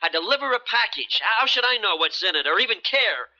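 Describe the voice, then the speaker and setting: An actor with an American accent playing a brash, slippery smuggler in a 1970s radio drama, protesting glibly with stammers. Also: male voice, sits high, sing-song, a little fast, bright, forceful tone, exaggerated voice. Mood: indignant. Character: brash, slippery American boat-owning smuggler, indignant under pressure. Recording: muffled old radio broadcast recording.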